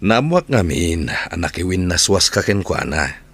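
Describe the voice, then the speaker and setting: A man's deep voice with an Ilocano Filipino accent , acted for a radio-style drama. He plays a loyal friend giving earnest, serious advice. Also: big pitch swings, measured pace, fairly bright tone, husky, exaggerated voice. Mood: grave, concerned. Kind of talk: Ilocano audio drama acting.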